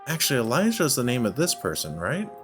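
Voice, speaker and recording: A man's voice: medium pitch, huge pitch swings, fast, a bit bright. A man giving casual let's play commentary, thinking aloud and often questioning himself as he goes. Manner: musing, self-questioning. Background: background music.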